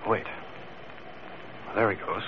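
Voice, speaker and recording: An actor playing a bold, watchful masked crime fighter in a 1940s radio adventure. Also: male voice, takes it slowly, lightly acted. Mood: watchful, calm. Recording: muffled, noisy old radio recording.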